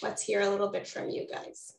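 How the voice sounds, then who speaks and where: A woman's voice: mid-range voice, completely flat delivery, brisk, vocal fry. Echoey Israeli-born woman presenting a webinar. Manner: warm, encouraging, addresses audience directly. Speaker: postpartum doula presenter.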